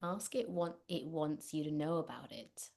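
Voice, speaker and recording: A woman leading a guided meditation, speaking gently and unhurriedly with long pauses. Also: deep voice, gravelly. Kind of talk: guided meditation, spoken instruction.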